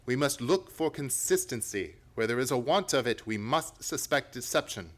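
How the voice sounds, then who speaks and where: A man playing a confident, analytical gentleman detective in a radio play, reasoning aloud in an emphatic, theatrical way. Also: mid-range voice, animated, moderate pace, natural voice. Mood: assured, probing.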